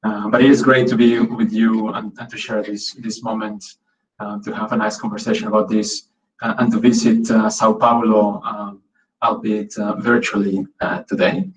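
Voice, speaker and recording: A man's voice: lowish voice, little pitch movement, moderate pace, far from the mic, low-quality recording. A man from Peru giving an online academic talk in English, hesitant with many fillers and restarts. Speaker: guest lecturer.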